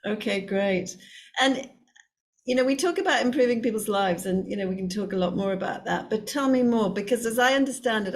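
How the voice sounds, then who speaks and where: A woman hosting a webinar panel, asking open questions in an encouraging way. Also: mid-range voice. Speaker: webinar host. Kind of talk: moderating a panel. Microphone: video call.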